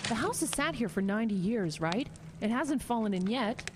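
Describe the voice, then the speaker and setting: An actress playing a prickly, assertive government official, dry and argumentative, in an audio drama. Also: female voice, fairly high pitch, expressive, normal speed, lightly acted. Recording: noisy storm ambience behind.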